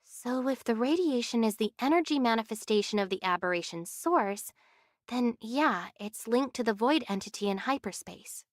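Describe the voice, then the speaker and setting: An actress playing an earnest, protective young heroine in an anime game, with a direct, questioning manner. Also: female voice, a little high, expressive, moderate pace, no echo, studio quality, lightly acted. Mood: questioning, reasoning.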